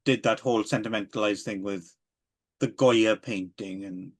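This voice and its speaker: A man's voice: fairly low pitch, moderate pace. A man co-hosting a podcast, chatting casually and rambling about films, with many hesitations and asides. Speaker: podcast co-host.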